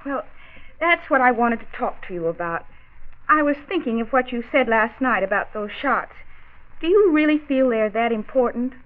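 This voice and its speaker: Casting: actress as anxious American young mother, fairly high voice, hesitant and confiding, vintage radio drama, tinny sound. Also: animated, normal speed, natural voice. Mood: sheepish. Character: flustered young mother, apologetic, anxious housewife. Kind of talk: 1940s educational radio drama acting. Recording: tinny, narrow-band 1940s radio recording.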